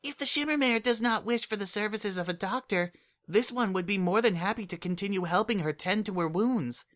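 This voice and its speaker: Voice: mid-range voice, a little fast, fairly bright tone, pitched above the performer's own voice, natural voice. A reader voicing a small young female changeling child who speaks formally, calling herself "this one", earnest and eager in an audiobook. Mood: concerned.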